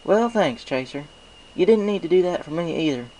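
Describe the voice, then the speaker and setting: A man's voice: high-pitched, animated, fairly quick, mellow, voice pushed up, poor audio. A man voicing a friendly, plain-spoken country farm mare in a folksy, hearty manner for a storybook reading.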